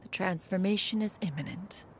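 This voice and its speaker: Woman acting dignified immortal adeptus, stern yet caring, archaic formal diction, aloof, a touch of creak, fantasy game. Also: mid-range voice, moderate pace, a little hiss or hum, close to an everyday voice. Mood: grave, solemn. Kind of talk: scripted video game voice acting.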